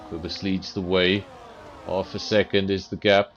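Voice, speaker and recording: Low-voiced man commentating a sim race. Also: little pitch movement, unhurried, highs cut off, slight background noise. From South Africa. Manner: chatty, self-deprecating, addresses viewers directly. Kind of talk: live esports race commentary.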